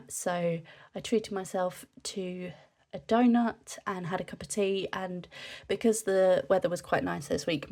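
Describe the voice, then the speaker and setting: A young woman from the UK, a scrapbooking vlogger narrating casually, her voice nasal from a cold, chatting in a relaxed way with frequent ums. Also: medium pitch. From United Kingdom.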